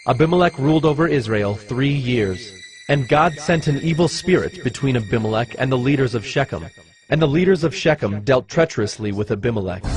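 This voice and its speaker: A man narrating dramatized scripture as a scripted storyteller. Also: mid-range voice. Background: music.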